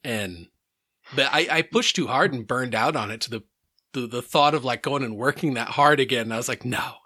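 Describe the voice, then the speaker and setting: A man's voice: mid-range voice, a little fast, bright, forceful tone, no echo, clean studio sound. A man in his early forties talking candidly on a podcast, swearing freely and laughing often. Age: 40s. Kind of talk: casual chat.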